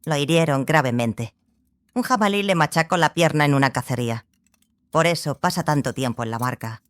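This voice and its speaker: A woman playing a learned, exacting small sorceress who mentors a student, speaking in a measured, matter-of-fact way in an audiobook drama. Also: deep voice, close to an everyday voice. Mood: detached.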